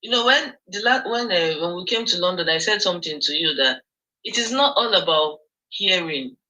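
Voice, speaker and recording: Nigerian woman, caller, bright sharp voice, storytelling, hesitant. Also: lowish voice, expressive, normal speed, rough recording. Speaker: caller sharing a testimony. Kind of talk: call-in testimonial.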